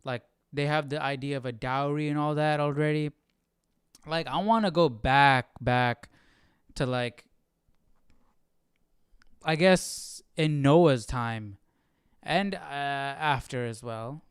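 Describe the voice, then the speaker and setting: A man's voice: fairly high pitch, takes it slowly, long pauses, clean tone, no room sound, clean studio sound. A man hosting a live Bible study, reading aloud and musing informally in casual commentary. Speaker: host of a Bible study stream.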